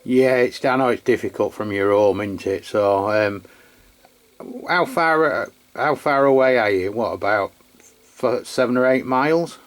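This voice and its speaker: A man's voice: medium pitch, measured pace. A man chatting casually as a CB radio operator. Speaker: radio review channel host, CB operator. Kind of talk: casual two-way radio chat.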